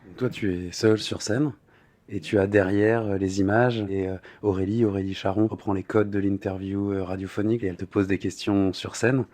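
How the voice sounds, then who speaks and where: A man with a fairly low voice acts as interviewer. He puts his questions casually, in informal and slightly tentative phrases. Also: fairly even intonation, fairly quick, darkish tone.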